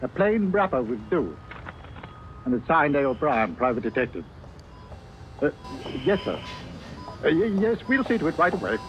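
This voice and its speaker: A man acting a dutiful British police inspector in an old-time radio drama, brisk, official and deferential. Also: fairly high pitch, sing-song, over loud music. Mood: businesslike. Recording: dull, faintly noisy old radio recording.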